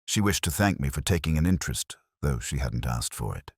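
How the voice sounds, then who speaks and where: Really low male voice reading a moody detective story, measured and literary, pitch moves around a lot, dry close sound. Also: animated, darkish tone, husky, dry recording. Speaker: audiobook narrator. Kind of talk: scripted first-person detective story narration.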